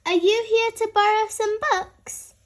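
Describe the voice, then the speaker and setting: A woman's voice: very high-pitched, steady tone, a little slow, voice pushed up. A woman voicing a polite, helpful female rabbit librarian in a children's storybook read-aloud.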